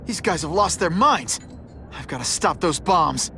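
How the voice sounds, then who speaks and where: A man's voice: on the high side, animated, a little slow, noisy background. A man playing an earnest, quippy young superhero in a video game, speaking expressively. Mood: determined, defiant. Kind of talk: video game cutscene acting.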